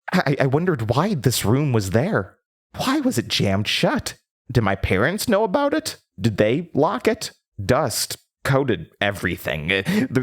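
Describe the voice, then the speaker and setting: Man playing slow, haunted Sally, trapped and obsessive. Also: mid-range voice. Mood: uneasy wonder. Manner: halting, stammering, brooding, intense. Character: Sally, troubled trapped young woman, lonely and obsessive. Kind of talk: dramatic horror audio drama monologue.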